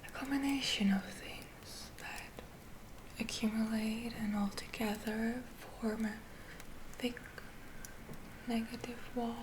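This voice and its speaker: A woman playing a gentle, reassuring witch healer in a soft whispered ASMR roleplay, soothing and a little hesitant. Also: on the high side, background noise. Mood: grave, focused. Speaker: ASMR performer.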